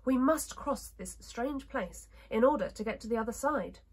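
A woman's voice: on the high side, lively intonation, moderate pace, husky. A woman voicing a polite, earnest and curious young girl in a storybook. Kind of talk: storybook character voice.

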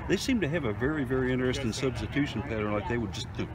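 A man asking a coach postgame questions in a brisk, conversational way. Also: mid-range voice, a crowd in the background. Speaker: team media reporter. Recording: noisy outdoor recording.

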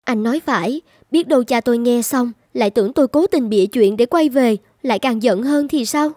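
A woman playing a gentle young bride in a fairy-tale cartoon. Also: fairly high pitch, brisk, no room sound, clean studio sound, close to an everyday voice. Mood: hesitant. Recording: music behind the voice.

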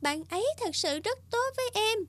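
A woman voicing a kind, humble little flower in an animated fairy tale, childlike, polite and earnest. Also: very high voice, moderate pace, faint music behind. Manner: polite, earnest, wide-eyed.

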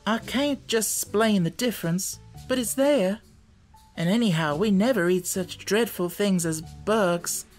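A woman's voice: mid-range voice, a little slow, a little mellow, soft background music, pitched above the performer's own voice, lightly acted. A woman voicing an earnest, outspoken young country girl in a storybook, speaking emphatically. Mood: earnest, insistent. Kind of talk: storybook character voice.

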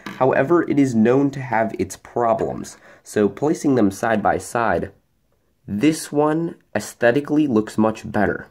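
A man's voice: mid-range voice, lively intonation, unhurried, a little mellow. A young man casually narrating a hobby video, walking through and comparing items on a tabletop. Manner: explanatory, comparing objects in front of him. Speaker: hobbyist YouTuber. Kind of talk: casual show-and-tell narration.

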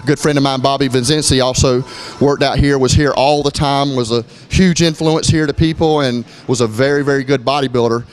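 A middle-aged man from Florida, a gym owner giving a casual walkthrough of his gym, talking in a relaxed way with lots of fillers and restarts. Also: medium pitch, a little fast, hardly any pauses, smooth. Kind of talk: walkthrough tour, casual narration. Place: gym indoors, moving through rooms.

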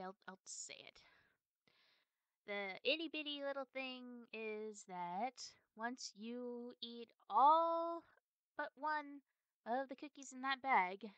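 Woman to play cutesy, snack-greedy girlfriend for ASMR audio roleplay; coy and stammering, slow pace, very dry close sound. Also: a little high, a bit bright, clear, resonant tone, no room sound, dull audio, exaggerated voice. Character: cute playful cookie-greedy girlfriend, childishly possessive.

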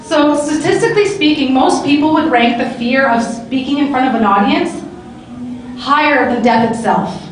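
Upbeat woman moderator, high pitch, handheld mic in a booming convention hall. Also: a little hiss or hum. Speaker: panel moderator. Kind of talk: hosting a live panel. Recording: echoey hall, camera from far off.

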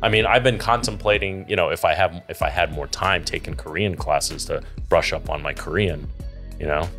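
A man's voice: low-pitched, normal speed, fairly bright tone, soft background music. A man in his thirties co-hosting a podcast in casual, joking chat, talking into a studio mic in a home studio. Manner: laid-back, joking, says "hundred percent". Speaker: podcast co-host. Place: home studio with wall art. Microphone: large studio mic on boom.

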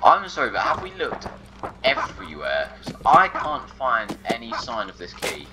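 A man's voice: mid-range voice, huge pitch swings, normal speed, noisy background. A man casually commentating his own gameplay, muttering in exasperation and talking to the objects he finds.

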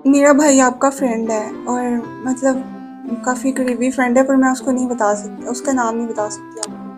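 A man's voice: very high-pitched, fairly even intonation, moderate pace, over music. A young man voicing a lovestruck, nervous female student who pleads coyly, in a prank phone call. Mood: earnest, pleading. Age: young adult. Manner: coy, pleading. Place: small indoor room.

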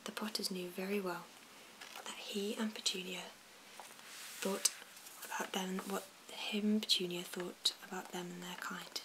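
A woman reads a storybook in a soft, slow whisper. Her delivery stays even and hushed. Noticeable hiss and small mouth clicks run through the recording. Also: lowish voice. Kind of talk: whispered ASMR storybook reading.

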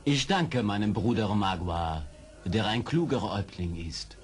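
An actor playing an earnest, determined army major in an audio drama, polite and articulate. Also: male voice, lowish voice, animated, unhurried. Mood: courteous. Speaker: actor in a radio play. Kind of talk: 1970s German audio drama acting.

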